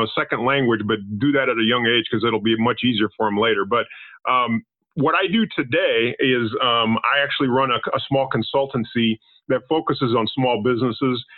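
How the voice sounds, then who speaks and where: A man's voice: medium pitch, no room sound. Man from Panama on a tinny phone line. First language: Spanish. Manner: measured, explanatory, frequent repetitions. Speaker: podcast guest, business consultant. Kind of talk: conversational interview answers.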